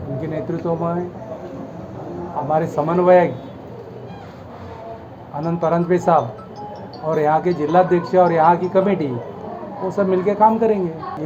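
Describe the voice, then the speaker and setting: High-voiced Indian man, muffled noisy recording. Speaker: local party worker. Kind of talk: spontaneous interview answer. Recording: dull, noisy location recording.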